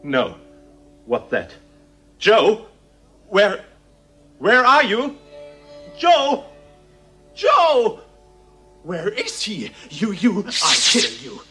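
An actor playing an aggressive, blunt, threatening thug in a radio drama. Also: male voice, sits high, wildly swooping pitch, drawn-out words, long pauses, bright, forceful tone, faint music behind, heavily acted. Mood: alarmed, threatening. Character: aggressive male thug posing as television reporter. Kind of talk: radio play acting.

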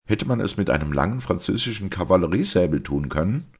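Calm commanding detective, deep and rough, read by a man. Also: fast talker, exaggerated voice. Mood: cool. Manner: smooth, curt commands.

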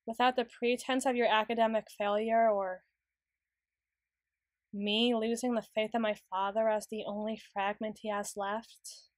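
A woman playing a flustered, prim, studious young tsundere in an ASMR roleplay, speaking in halting, stammering, formal sentences. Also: on the high side, little pitch movement, no room sound, clean studio sound, close to an everyday voice. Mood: hesitant, tender. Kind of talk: ASMR roleplay, acted monologue.

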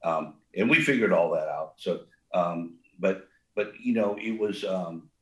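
A man's voice: low voice, unhurried, a bit bright, reverberant. An older man, a restaurant co-founder, talks in a rambling way with many fillers and restarts and a big voice. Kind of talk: casual interview talk.

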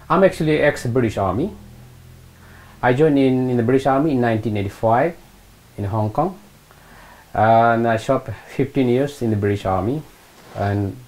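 A man's voice: mid-range voice, a little mellow. A middle-aged man from eastern Nepal with a Nepali accent, recalling his life hesitantly in an interview, often repeating phrases. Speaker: interviewee, retired British Army Gurkha. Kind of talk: oral history interview, personal recollection. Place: small room indoors, seated on a sofa. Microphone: lapel mic.

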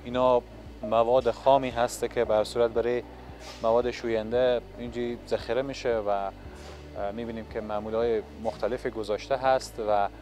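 A male TV reporter at a medium pitch. He is interviewing people on location, holding a microphone in a big indoor factory hall. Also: little pitch movement, darkish tone, faint music behind. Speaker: television reporter. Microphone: handheld reporter microphone.

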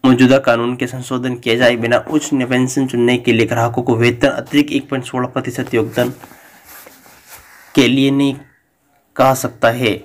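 Flat-voiced man reading pension news. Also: medium pitch, normal speed. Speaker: news channel host. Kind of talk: read-out news bulletin.

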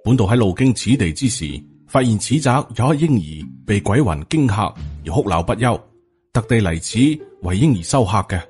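A man voicing a courteous, self-important Taoist priest in an audiobook, formal and measured, with a Hong Kong Cantonese accent. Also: lowish voice, expressive, a little mellow, slightly rough, soft background music.

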